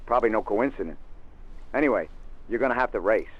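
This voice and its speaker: Brisk mobster on a muffled phone line. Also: male voice, fairly low pitch, lively intonation. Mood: urgent. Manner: businesslike, insistent. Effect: telephone filter. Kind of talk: video game voice acting. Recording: band-limited telephone line.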